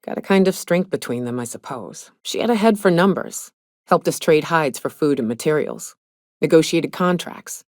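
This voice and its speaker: Game role: hardened female monster hunter, low-pitched, blunt, folksy and world-weary, close dry sound. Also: expressive, moderate pace, darkish tone, no room sound. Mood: nostalgic. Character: tough, hard-drinking, haunted female monster hunter and mercenary. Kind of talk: video game character dialogue.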